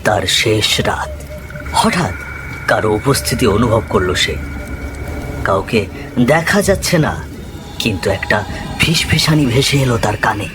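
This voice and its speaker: A man's voice: medium pitch, expressive, a little slow, long pauses. A man narrating a scripted ghost story in a dramatic storytelling style. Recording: music behind the voice. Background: music.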